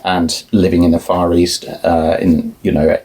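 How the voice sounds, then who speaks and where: Low husky man, thoughtful guest. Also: level tone, a little slow, dark tone. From family roots in Ireland, moved around a lot. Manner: thoughtful, hesitant, many restarts. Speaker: podcast guest, artist. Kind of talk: relaxed conversational interview.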